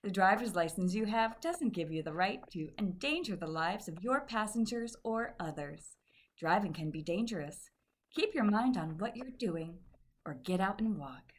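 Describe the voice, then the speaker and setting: Lively woman radio announcer. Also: medium pitch, moderate pace, slight background noise. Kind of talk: scripted old-time radio public service announcement.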